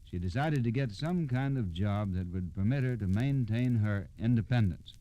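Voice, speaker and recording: Dark-toned, low American radio storyteller, male. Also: animated, normal speed. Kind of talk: scripted old-time radio narration.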